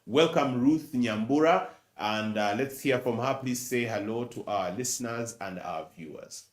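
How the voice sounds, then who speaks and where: A man from Uganda with a Luganda-influenced accent, moderating a press conference and formally introducing panelists with a measured delivery and some fillers. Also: mid-range voice, roomy sound. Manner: measured, reads bios with fillers.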